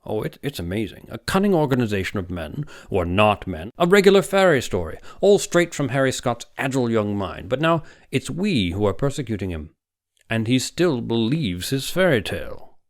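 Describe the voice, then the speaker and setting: A man's voice: mid-range voice, big pitch swings, normal speed, voice pushed up. A man voicing a vehement, scheming older male psychiatrist in an audiobook, emphatic and condescending. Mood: musing, intense. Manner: emphatic, exclamatory, argumentative. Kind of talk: audiobook character voice.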